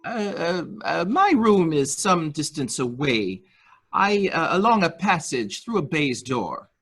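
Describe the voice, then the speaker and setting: Man acting a primly servile manservant, lively hesitant delivery. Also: a little high, measured pace, slightly rough, exaggerated voice. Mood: nervously formal. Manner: hesitant, obsequious, formal. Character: deferential male nurse-attendant to a rich invalid, primly servile. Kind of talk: dramatic reading of a murder mystery.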